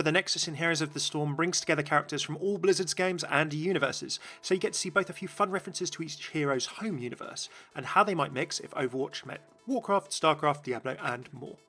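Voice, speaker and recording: A man narrates a gaming video in an upbeat, explanatory way. His voice sits a little high, bright and forceful, close on the mic with music underneath. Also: fairly quick, hardly any pauses. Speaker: video game channel narrator.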